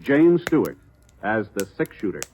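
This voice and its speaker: A man's voice: fairly low pitch, big pitch swings, moderate pace, background noise. An American man reading scripted narration as a 1950s radio announcer. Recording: vintage radio with loud music. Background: loud music.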